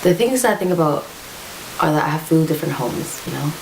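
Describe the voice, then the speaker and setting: A young woman from Hong Kong speaks in a low voice with a gravelly edge, working through her answer step by step. A faint hiss sits under the recording. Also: animated, a little mellow, low-quality recording. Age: young adult. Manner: measured, lays out points in order. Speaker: student interviewee. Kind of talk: interview answer.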